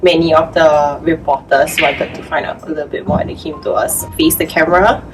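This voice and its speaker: A woman's voice: deep voice, steady tone, a little fast. A woman who works at a food bank, reminiscing casually in an interview. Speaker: food bank staff member. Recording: slightly distant mic under background music. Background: background music.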